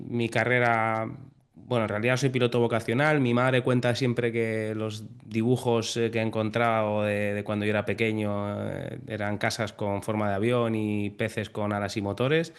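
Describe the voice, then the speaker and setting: Guest pilot, male, 30s, from Spain; deep, clean voice, friendly storytelling. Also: little pitch movement, normal speed, clean tone. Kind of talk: relaxed interview storytelling.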